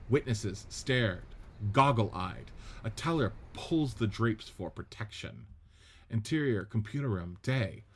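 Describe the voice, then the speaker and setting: A man narrating the stage directions of a script reading in a steady, matter-of-fact way. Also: medium pitch, husky.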